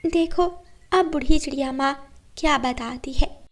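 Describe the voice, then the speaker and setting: A woman's voice: high-pitched, fairly even intonation, measured pace. A woman voicing an earnest, hardworking mother bird in a children's cartoon, with a plaintive manner. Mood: hopeful.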